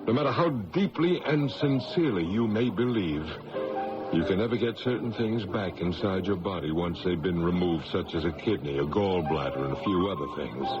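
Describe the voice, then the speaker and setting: An actor playing a shrewd, dryly sardonic judge in a radio drama, probing in a measured way. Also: male voice, deep voice, animated. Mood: probing, calm. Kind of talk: old-time radio drama acting. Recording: dull radio broadcast with music behind.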